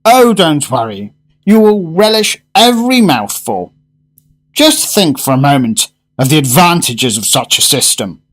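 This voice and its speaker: An actor playing a fussy, fervent gourmet in a radio drama, speaking in grandiose, emphatic phrases. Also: male voice, on the high side, big pitch swings, a little slow, fairly bright tone, heavily acted. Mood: fervent, reassuring. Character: fussy, fervent gourmet diner, a well-spoken man.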